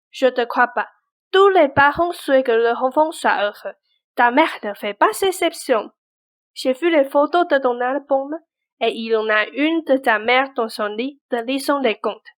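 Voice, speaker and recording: A woman playing a blunt but caring confidante in a French radio play, speaking in a brisk, insistent, colloquial way. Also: high-pitched. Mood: impatient, scolding, then gentle, consoling. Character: blunt, pushy but caring female confidante urging Cinderella onward.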